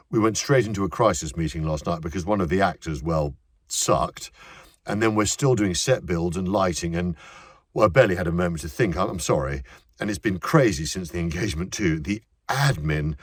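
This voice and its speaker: A man's voice: deep voice, slightly rough, no room sound. A man voicing a charming young male theatre director who talks in a casual, matey way with many fillers.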